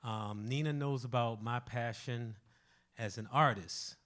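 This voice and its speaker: A man's voice: medium pitch, a little slow, a bit bright. A man, a musical director, talking casually and without a script about his work, in an informal, direct way. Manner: informal, conversational, addresses listeners directly.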